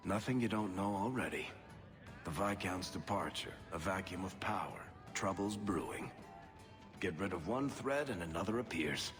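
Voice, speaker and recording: An actor playing a roguish, world-weary tavern storyteller in a video game, glib, wry and familiar. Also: male voice, low voice, lively intonation, normal speed, a bit bright. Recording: long hall reverb, music behind.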